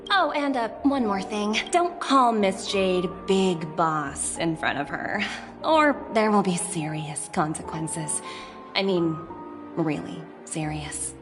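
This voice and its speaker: Poised young executive, lively pitch, confident, game music underneath. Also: female voice, slow. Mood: warning. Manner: confident, shrewd, lightly teasing. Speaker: game character. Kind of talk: studio game voice acting.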